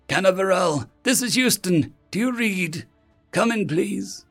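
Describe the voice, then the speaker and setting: Man acting a desperate, high-pitched mission control operator. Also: lively intonation, unhurried, creaky, a bit bright, faint music behind, heavily acted. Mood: desperate, urgent. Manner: urgent, pleading radio calls.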